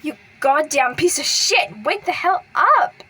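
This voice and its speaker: A woman voicing a furious, grieving, hot-tempered young man who yells and curses at an unmoving friend, his voice breaking. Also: on the high side, normal speed, husky, recorded from a distance. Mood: furious yelling. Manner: yelling, cursing, voice breaking. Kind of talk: dramatic fan fiction reading.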